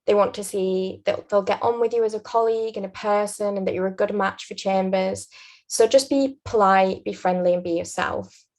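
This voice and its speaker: A woman's voice: medium pitch, a little mellow. Earnest young woman with Yorkshire accent. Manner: earnest, measured advice-giving. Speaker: guest, aspiring barrister. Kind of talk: interview advice.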